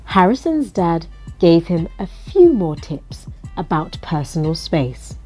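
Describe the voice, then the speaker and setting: A woman reading a children's picture book aloud in an expressive, coaxing way, as if to young listeners. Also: lowish voice, takes it slowly, slightly rough, faint music behind, poor audio. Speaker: storybook reader.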